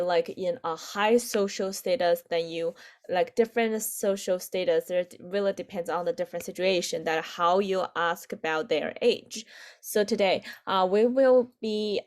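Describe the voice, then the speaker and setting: A woman in her twenties with a Chinese accent and native Chinese, teaching an online language lesson haltingly, repeating phrases for learners. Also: mid-range voice, a little mellow. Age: 20s. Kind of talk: online lesson, explaining with examples.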